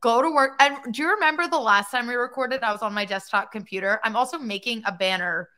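A young woman co-hosting a podcast, with a voice that always sounds a bit hoarse, chatty and playful. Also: on the high side, quick pace, sharp tone. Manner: chatty, playful, self-mocking. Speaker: podcast co-host. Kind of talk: casual chat.

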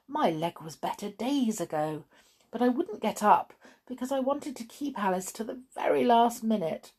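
A woman's voice: mid-range voice, darkish tone. A woman voicing a kindly, lonely storybook spinster, fussy and protective, speaking with emphatic emotion.

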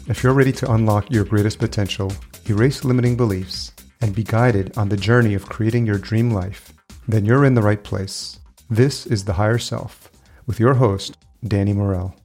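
Low-voiced man announcing a show intro. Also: steady tone, moderate pace, a little mellow. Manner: motivational announcer delivery. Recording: intro with faint music behind.

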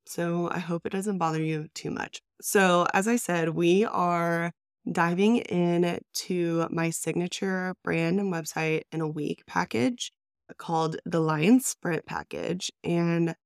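A woman's voice: fairly low pitch, steady tone, slow pace, clear, resonant tone, dry recording, clean studio sound. Congested, upbeat woman podcast host. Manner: upbeat, enthusiastic and chatty. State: congested, stuffy nose. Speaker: podcast host and brand designer. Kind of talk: solo business podcast talk.

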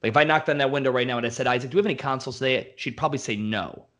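Blunt, profane gym owner. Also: male voice, mid-range voice, fairly bright tone. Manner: blunt, profane, fast-flowing rants. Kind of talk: casual podcast conversation.

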